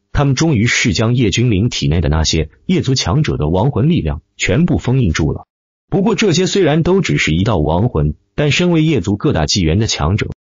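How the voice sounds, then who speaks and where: Male audiobook narrator, animated dramatic delivery, lowish voice, close dry sound with dulled top end. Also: slightly rough, dry recording, muffled recording. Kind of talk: scripted dramatic narration of fantasy web novel.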